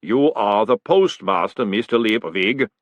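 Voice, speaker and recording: Man voicing a huge calm golem, slow, booming, unhurried, dry close sound. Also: no echo, dull audio. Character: huge calm clay golem, male, ponderous.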